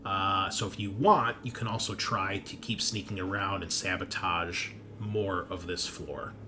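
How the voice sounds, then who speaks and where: Low-voiced male game master over noise. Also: fairly even intonation, unhurried, sharp tone. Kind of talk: narrating a tabletop roleplaying game. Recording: clear background noise.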